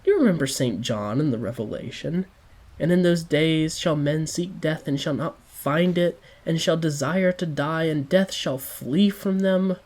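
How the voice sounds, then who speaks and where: A man's voice: a little high, unhurried, darkish tone, pitched above the performer's own voice. A man voicing a tormented devout man who broods on death and sin, speaking with fervent, preaching intensity.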